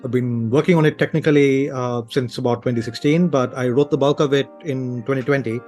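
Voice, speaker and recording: A man from Sri Lanka talks as a guest author in a casual interview. He sits at a middle pitch and feels his way through his thoughts, often restarting a phrase. Faint music plays along with his voice. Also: steady tone, brisk, darkish tone.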